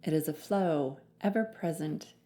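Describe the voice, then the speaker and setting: A woman narrating a guided meditation in a soothing, measured manner with long pauses between phrases. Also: low-pitched, warm tone, faint music behind.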